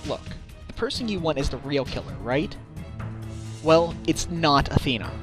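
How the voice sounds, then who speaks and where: A man playing an earnest, excitable defense lawyer in a fan dub of a courtroom mystery game, emphatic and pleading. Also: on the high side, normal speed, over music, close to an everyday voice. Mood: earnest, pressing.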